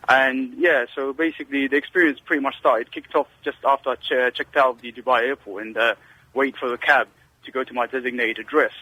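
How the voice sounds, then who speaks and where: A man on a call-in line talks quickly, stumbling through restarts and fillers as he tells his story. His voice is bright and a little husky. The sound is thin and phone-like. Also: medium pitch, steady tone, no room sound. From Britain. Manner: rambling, hesitant, many restarts and fillers. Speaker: caller.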